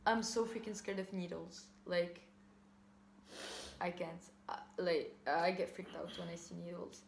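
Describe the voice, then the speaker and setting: A young woman hosting a casual chat, talking quickly and giggling, switching between English and Arabic. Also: fairly low pitch, steady tone, creaky, a little hiss or hum. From from Paris. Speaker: vlog host. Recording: echoey room with faint ambience.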